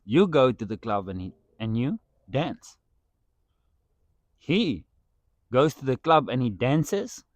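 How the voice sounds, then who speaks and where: A man's voice: mid-range voice, very animated, takes it slowly, long pauses, dry recording. A man whose first language is Afrikaans, talking as a podcast guest in a casual, earnest and playful way. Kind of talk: casual chat.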